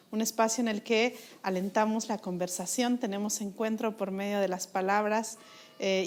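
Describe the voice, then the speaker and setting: A young woman whose first language is Mixe, hosting an interview and asking questions warmly with many hesitant fillers. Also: mid-range voice. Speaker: interview host. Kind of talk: conversational interview.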